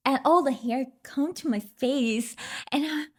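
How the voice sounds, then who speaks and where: Unhurried woman with a Vietnamese accent. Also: high-pitched. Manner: unhurried, with fillers and restarts, laughs often. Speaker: podcast guest, singer. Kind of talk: casual interview chat.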